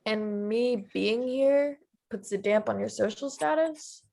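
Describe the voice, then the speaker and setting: A woman reads a wary teenage girl who questions and resists every plan put to her. Her voice sits a little high. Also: slow pace, creaky, darkish tone. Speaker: actor in a table read. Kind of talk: table read acting.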